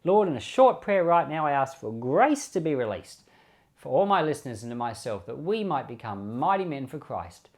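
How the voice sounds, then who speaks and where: A man's voice: mid-range voice, big pitch swings, moderate pace. An Australian man speaking as a pastor, explaining scripture in an informal, conversational preaching style, often repeating short phrases. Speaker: pastor and Bible podcast host. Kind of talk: informal Bible commentary and preaching.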